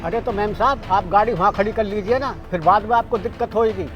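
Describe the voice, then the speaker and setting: An actor playing a polite security guard who pleads with a rude driver in a short drama skit. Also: male voice, high-pitched, brisk, highs cut off, over music, natural voice. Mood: pleading. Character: patient, polite middle-aged male security guard.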